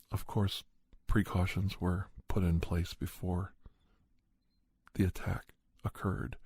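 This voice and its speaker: A man narrating a first-person horror story in ASMR style, with a measured, halting delivery in short phrases. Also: sits low, warm tone, breathy edge, dry recording. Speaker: podcast host and storyteller.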